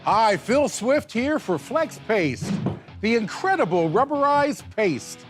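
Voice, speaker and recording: A high-voiced man pitches a product in a TV infomercial. He is emphatic and very animated, speaking at a measured pace over guitar music. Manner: emphatic sales delivery. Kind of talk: scripted TV infomercial.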